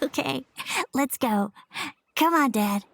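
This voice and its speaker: A woman's voice: high-pitched, slow, vocal fry, slight background noise, heavily acted. A woman voicing an earnest, imaginative young boy in a video game, speaking sincerely. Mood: hopeful, grateful. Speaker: voice actor in a game. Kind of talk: emotive video game story dialogue.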